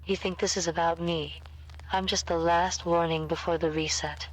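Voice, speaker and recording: A woman playing an eerie, unsettlingly calm captive former teacher in a horror story, deliberate and cryptic. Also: fairly low pitch, lightly acted, low-quality recording. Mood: foreboding. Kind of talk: scripted horror acting.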